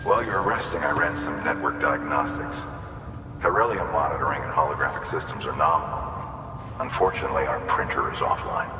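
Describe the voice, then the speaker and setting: A man playing a practical outpost technician in a video game, briefing in a casual, colloquial way with a very deep voice in an echoey, noisy space. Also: a little fast. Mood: apologetic, explaining. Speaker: mission-giving technician at an outpost. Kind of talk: scripted video game dialogue. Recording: echoey hall, distant, clearly noisy.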